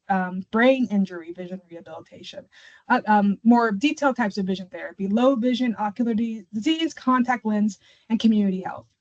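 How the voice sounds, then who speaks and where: A woman's voice: mid-range voice, darkish tone. A woman hosting an informational webinar as presenter, speaking over an online call. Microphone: online video call. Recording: dull, lo-fi webinar audio.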